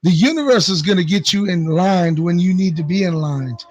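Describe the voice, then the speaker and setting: A man's voice: sits high, animated, a little fast, a little mellow, no echo. A man speaking as a guest promoter, with emphatic, slangy hype talk that often repeats phrases for effect.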